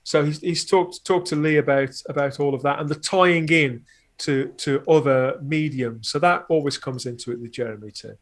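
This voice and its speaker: Higher-voiced man in casual podcast chat. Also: normal speed. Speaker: podcast panellist. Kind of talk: casual conversation.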